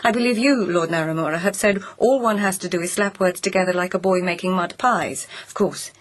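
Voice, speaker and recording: An actress playing a shrewd court lady in a radio drama, who questions others with quiet, ironic persistence. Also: fairly low pitch, a little fast, natural voice. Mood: probing.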